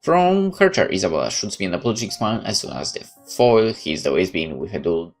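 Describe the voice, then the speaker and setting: A man with a very deep voice and animated pitch reads a game's story aloud theatrically. He mixes in casual let's-play remarks, with faint music behind him. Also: fast. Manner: expressive, theatrical. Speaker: let's player. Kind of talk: dramatic read-aloud with casual asides.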